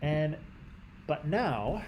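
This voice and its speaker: A man's voice: fairly high pitch, big pitch swings, extremely slow, a little mellow, slight background noise. Canadian man teaching, lots of "right?". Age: adult. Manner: conversational, frequent "right?" checks with students; clears throat often. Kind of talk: classroom lecture.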